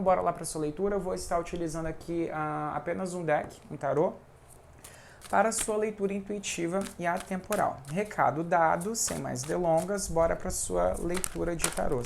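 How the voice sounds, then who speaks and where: A man's voice: a little high, creaky, background noise. A man with a Brazilian accent chatting casually as he reads tarot cards, often tacking on little tag questions. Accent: Brazilian Portuguese. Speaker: tarot reader. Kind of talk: casual tarot reading vlog.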